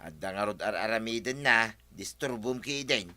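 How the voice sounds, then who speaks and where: A man with an Ilocano accent playing a sensible, mildly scolding village man in a radio comedy drama, forceful and chiding. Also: on the low side, expressive, normal speed. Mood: questioning.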